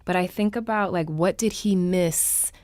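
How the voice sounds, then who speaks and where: A woman's voice: lowish voice, unhurried, darkish tone, dry recording, clean studio sound. A woman speaking casually and reflectively as a guest in a panel discussion.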